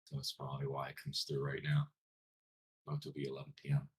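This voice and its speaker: A man's voice: very deep voice, fast talker, slight background noise. A man from Colombia with a light Colombian accent, chatting casually and rambling on a late-night stream while tired and chewing gum. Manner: relaxed, rambling, self-interrupting. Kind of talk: casual chat.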